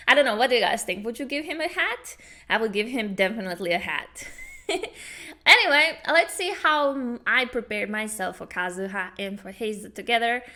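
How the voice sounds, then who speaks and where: A woman hosting a casual gaming reaction video, chatting in an excitable, giggly way. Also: fairly high pitch. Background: game music.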